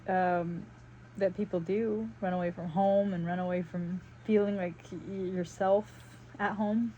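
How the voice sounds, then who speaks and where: Southern lady in her 30s rambling while she paints, kinda low voice, some hiss behind her. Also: steady tone, a little slow, warm tone, muffled recording. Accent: faint Southern US. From South Carolina, United States. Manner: rambling and reflective, many fillers. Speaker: vlogger. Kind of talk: casual chat while painting. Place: home on Maui.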